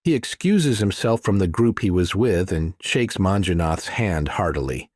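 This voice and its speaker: A man with a low voice reads a thriller as an audiobook narrator. The delivery is scripted storytelling, recorded very dry. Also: animated, unhurried, a little mellow, dry recording.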